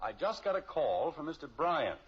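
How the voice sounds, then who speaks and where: A man's voice: a little high, big pitch swings, moderate pace. A man playing an exasperated, easily flustered middle-aged suburban father in 1950s radio comedy style, sputtering and sarcastic. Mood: exasperated disbelief. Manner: sputtering, sarcastic, long-suffering. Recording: muffled old radio recording, faint hiss.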